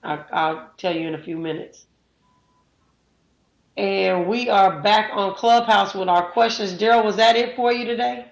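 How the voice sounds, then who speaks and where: A woman's voice: medium pitch, measured pace, gravelly, reverberant. Chatty woman hosting a live audio room. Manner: chatty, warm introductions, many fillers. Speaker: host.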